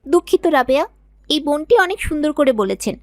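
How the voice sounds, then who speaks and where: A woman's voice: high voice, expressive, quick pace, a little mellow, no room sound, clean studio sound. A woman voicing a proud, emphatic young girl in a scripted moral cartoon. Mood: apologetic. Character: haughty, class-proud young girl, a playmate.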